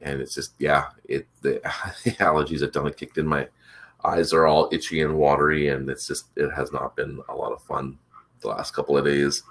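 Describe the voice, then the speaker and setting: A man hosting a casual morning chat, rambling with many fillers, his voice congested and a bit rough from allergies. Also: a bass voice, level tone, fairly quick, a bit bright. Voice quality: congested, slightly rough throat. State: stuffed up from allergies. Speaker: vlog host.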